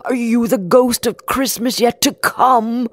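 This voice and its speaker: A woman's voice: low-pitched, very animated, slow pace, gravelly, voice pushed down, exaggerated voice. A woman voicing an impassioned, exclaiming old miser in a storybook reading. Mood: fearful. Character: miserly old man, frightened, pleading, then giddily joyful.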